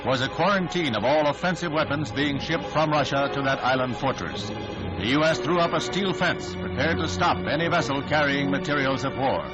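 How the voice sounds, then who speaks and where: A man's voice: a little high, moderate pace. An American man reading scripted 1960s newsreel narration in a formal, dramatic, declamatory manner. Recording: dull vintage 1960s newsreel soundtrack. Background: loud orchestral newsreel music.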